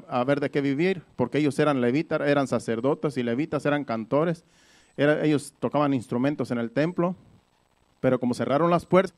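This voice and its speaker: A man's voice: fairly high pitch, a little mellow. A man preaching in a conversational Bible-teaching style, explaining things by repeating and rephrasing his points.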